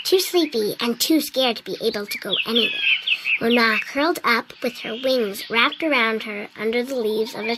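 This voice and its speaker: A woman voicing a small winged girl who earnestly tells a children's tale, in video game dialogue, with loud noise behind. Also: on the high side. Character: small winged girl, sweet and eager storyteller.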